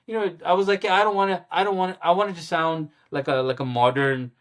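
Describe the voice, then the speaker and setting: A man's voice: a little high, fairly even intonation, fast, fairly bright tone. A middle-aged man, a musician being interviewed, recalling his past in casual talk with many restarts and repeated words, often chuckling at himself. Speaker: guest musician.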